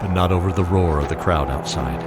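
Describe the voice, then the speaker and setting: Low voiced guy narrator, dramatic fantasy story read, loud music n effects behind. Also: brisk.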